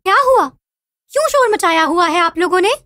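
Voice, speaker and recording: A woman's voice: extremely high pitch, animated, brisk, shouting, very noisy. A woman voicing a stern mother scolding her young children in a cartoon, firm and reproachful.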